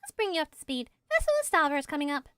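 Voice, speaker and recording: A woman voicing a chirpy, blunt little female fairy companion in a fantasy game, excitable and speaking of herself in the third person. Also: sits high, rapid-fire, no echo, clean studio sound. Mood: excited, puzzled.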